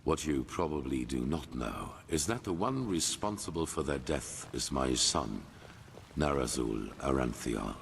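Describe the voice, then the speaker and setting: An actor playing a grave old grandmaster of a holy order in a fantasy game, speaking in a solemn, formal, measured storytelling manner. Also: male voice, rumbling low, animated, vocal fry, a little hiss or hum, heavily acted. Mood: grave, reflective. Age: older. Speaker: video game character. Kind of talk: dramatic fantasy game dialogue.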